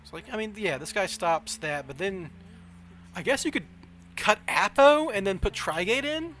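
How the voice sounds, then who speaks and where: Higher-voiced Southern American man streaming. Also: expressive, normal speed, fairly bright tone, a little hiss or hum. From southern United States. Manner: thinking aloud, self-questioning. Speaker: game streamer. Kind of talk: casual livestream chat while playing a card game.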